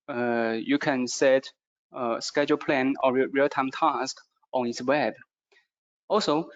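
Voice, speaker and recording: Higher-pitched man presenting, non-native English speaker. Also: highs cut off. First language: not English. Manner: halting delivery with frequent hesitations. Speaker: product presenter. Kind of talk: webinar product presentation.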